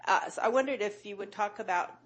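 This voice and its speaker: A woman's voice: mid-range voice, fast, slightly rough. A woman from the audience at a panel event, asking a direct, pointed question.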